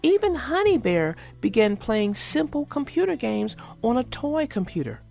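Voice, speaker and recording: A woman reading a children's storybook aloud as narrator, in an expressive storytelling manner. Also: on the high side, normal speed, gravelly, faint music behind. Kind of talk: scripted storybook read-aloud.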